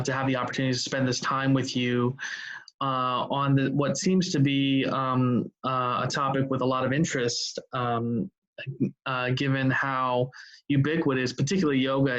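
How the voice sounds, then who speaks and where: A middle-aged American man presents over a video call in a fairly even tone. He picks his phrases deliberately, pausing often to fill gaps with ums and uhs. The audio is somewhat dull and lo-fi. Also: mid-range voice. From United States, Ohio. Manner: halting, deliberate phrasing with many filled pauses. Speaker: guest presenter, workshop leader. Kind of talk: informal online presentation.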